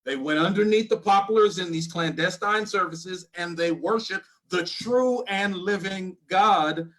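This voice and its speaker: A man on a discussion panel, explaining his point in a measured way. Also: high voice, a bit bright, rough recording.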